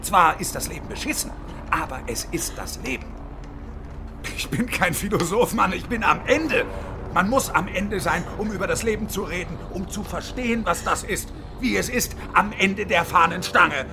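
An actor playing a bitter, desperate hostage-taking engineer in his fifties in a radio drama, with sardonic, menacing, deliberate phrasing. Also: high voice, lively intonation, over music. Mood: agitated, desperate. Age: middle-aged.

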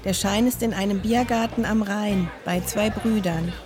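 Terse woman narrator over clear music. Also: mid-range voice, fairly even intonation, normal speed. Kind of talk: terse scripted log-like narration.

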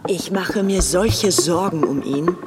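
An actress playing an infatuated, excitable young English society woman in a radio play, confiding breathlessly as if to a diary. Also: fairly low pitch, slow pace, very noisy. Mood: anxious, devoted. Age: young adult.

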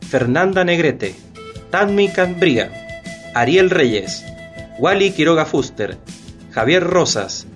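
A man announcing in a formal, scripted radio style. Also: a little high.

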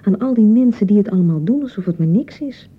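An actress from a radio play playing an anxious, touchy young newlywed bride in a hesitant, rambling way, with a Netherlands Dutch accent. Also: female voice, expressive, fast, slightly rough. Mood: uneasy, brooding. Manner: hesitant, rambling, self-correcting. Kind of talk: naturalistic radio play dialogue. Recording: muffled radio broadcast with rumbling noise.

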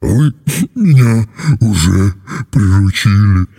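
A storybook dragon with a low, hoarse rumble. The creature drags its words out heavily, with a crackling, gravelly growl and big, raw emotion. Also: male voice, expressive, warm tone, heavily acted. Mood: sobbing, moved. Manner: slow, drawling, bellowing and sobbing delivery. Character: grumpy, sullen old-fashioned dragon, secretly lonely and sentimental.

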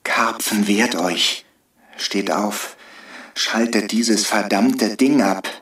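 An actor playing a defiant rebel agitator in a satirical radio play, giving blunt, commanding short orders. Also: male voice, fairly low pitch, slow, fairly bright tone. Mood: urgent, commanding. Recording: roomy echo, distant from mic.

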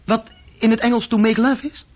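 An actor playing a bored, self-deprecating young male bookkeeping clerk in a radio drama, speaking haltingly. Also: very high voice, steady tone. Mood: curious, awkward. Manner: halting, searching for words. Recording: muffled old radio recording.

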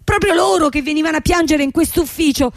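A woman acts out a harried young female boss in a radio play. Her voice is high and recorded dry and close, insistent and dismissive as she piles up words in exasperated lists. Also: fast, no room sound. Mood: bitter. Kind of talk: theatrical two-hander radio drama.

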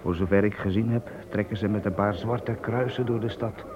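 A man's voice: fairly low pitch, steady tone, brisk, muffled recording, a crowd in the background, natural voice. A man in a radio play voicing a hesitant fish seller who stammers over his words. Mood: nervous. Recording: noisy old radio recording.

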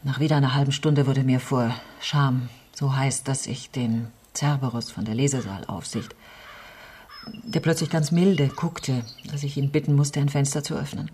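An actress playing an embittered, outspoken West German politician in a radio crime drama, with a husky voice and sardonic, emphatic delivery. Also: female voice, extremely low pitch, fairly even intonation, normal speed, darkish tone, a little hiss or hum. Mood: wry, reflective. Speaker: lead actress and first-person narrator.

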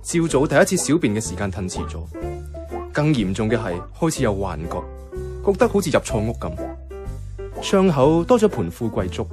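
An actor playing a mild, wry young man narrating his life as a reluctant flatmate in a Cantonese radio drama, with a Hong Kong accent and a casual, understated delivery. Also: medium pitch, close to an everyday voice. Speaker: narrator and lead character. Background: background music.

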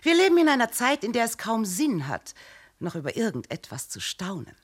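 An actress playing a poised, sharp-tongued diplomat's wife who parries a police inspector with irony, in a radio play. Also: female voice, on the high side, huge pitch swings, brisk, breathy edge, full character voice. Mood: ironic. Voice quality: ironic, poised.

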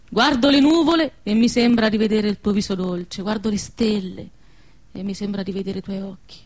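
An actress playing a lovesick young peasant woman in wartime, in expressive radio drama with a central Italian accent. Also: mid-range voice, lightly acted. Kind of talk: stage-style radio drama acting.

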